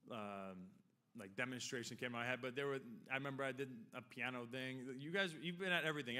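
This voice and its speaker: A man around forty speaks into a podium microphone at a ceremony hall. His voice is bright and forward. He rambles through his acceptance speech, joking one moment and heartfelt the next. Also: mid-range voice. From Long Island, New York. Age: 40s. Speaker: hall of fame inductee.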